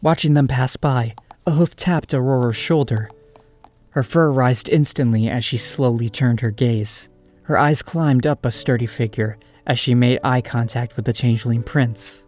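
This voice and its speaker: Man narrating a story over soft music. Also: mid-range voice, moderate pace.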